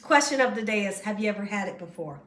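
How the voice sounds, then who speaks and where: A woman hosting a casual home cooking tutorial, slightly hoarse as she loses her voice, chatty and encouraging toward viewers. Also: medium pitch, expressive, fast talker, fairly bright tone, reverberant, far from the mic. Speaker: cooking show host. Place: home kitchen.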